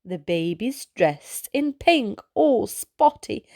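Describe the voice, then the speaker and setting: Slow, highly animated woman reading a picture book. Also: no echo, clean studio sound. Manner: animated, with exclaimed sound-effect words; slow, deliberate delivery for young children. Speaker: children's storytime reader and host. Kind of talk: expressive read-aloud picture book narration.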